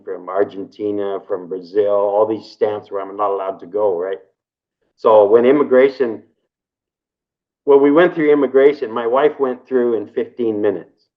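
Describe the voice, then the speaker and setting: Low-voiced man, rambling with "right?". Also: highs cut off. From Canada. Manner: rambling, tags sentences with "right?", repeats himself. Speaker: interview guest. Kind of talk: casual recounting in an interview.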